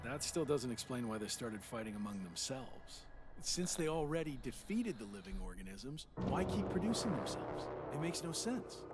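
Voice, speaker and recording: A man's voice: mid-range voice, very animated, moderate pace, hardly any pauses, background noise, close to an everyday voice. A man playing a sceptical engineer in a video game, blunt, irritable and sardonic in the way of talking. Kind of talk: video game dialogue acting.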